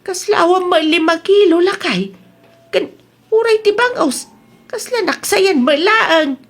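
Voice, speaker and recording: A woman playing a chatty, evasive village wife in an Ilocano comedy audio drama, exclaiming and quick to retort. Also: extremely high pitch, animated, full character voice. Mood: fretful.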